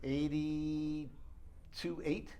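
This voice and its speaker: Higher-voiced man giving a product walkthrough. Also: little pitch movement, very slow, mellow, clear, resonant tone. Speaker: audio dealer giving a product demonstration. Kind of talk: informal show-room product walkthrough. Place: exhibition suite at an audio show.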